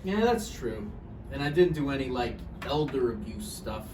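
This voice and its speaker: A man's voice: medium pitch, expressive, normal speed, close to an everyday voice. A man playing a gloomy, wry old failed novelist on his deathbed in a live table read of a stage play. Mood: wry, resigned. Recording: echoey noisy room, far from mic.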